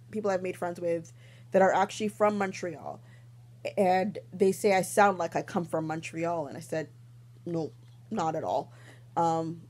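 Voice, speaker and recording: A woman's voice: lowish voice, dark tone. A young adult woman hosting a podcast, rambling casually with many ums and little laughs. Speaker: podcast host. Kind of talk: casual chat.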